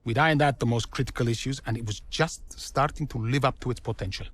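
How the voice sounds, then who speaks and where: An actor playing a measured former racing team principal giving a documentary-style interview in a game. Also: male voice, mid-range voice, close to an everyday voice. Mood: reflective.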